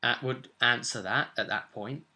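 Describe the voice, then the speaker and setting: A man's voice: medium pitch, normal speed, pushed and bright. A young man giving a casual, unscripted vlog recap as a tabletop game master, explaining in a loose, rambling way. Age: young adult. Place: small furnished room at home, indoors.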